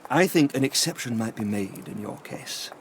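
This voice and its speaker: Male actor as scheming doctor for audio drama: formal, precise, courteously menacing, medium pitch. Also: expressive, moderate pace, slight background noise, lightly acted. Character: educated male doctor, polite, cunning, power-hungry villain.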